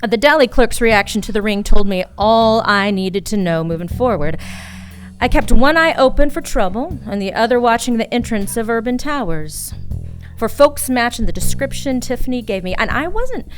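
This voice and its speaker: A woman playing a wry, hardboiled female private eye in a noir radio play, with a sardonic, teasing delivery and dramatic pauses. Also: on the high side, animated, close to an everyday voice. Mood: guarded, narrating. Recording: live radio play with music behind.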